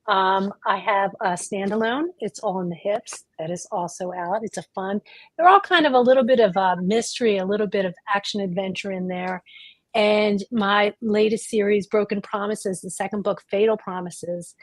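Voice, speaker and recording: A woman's voice: medium pitch, moderate pace, no room sound. A woman hosting a livestream book chat as an author, talking casually over a video call. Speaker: author and book club host.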